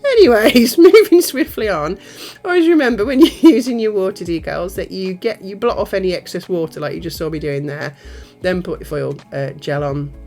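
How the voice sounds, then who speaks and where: A woman's voice: medium pitch, normal speed, darkish tone, soft background music. A woman with a nasal voice from a cold, hosting a nail art tutorial in a chatty way with giggly asides. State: has a cold. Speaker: nail art channel host. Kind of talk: casual tutorial narration.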